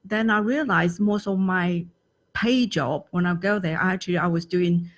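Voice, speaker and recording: A woman's voice: lowish voice, normal speed. A middle-aged woman from Taiwan, a guest in an interview, speaking earnestly and searching for words in a language that is not her first. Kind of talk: interview conversation.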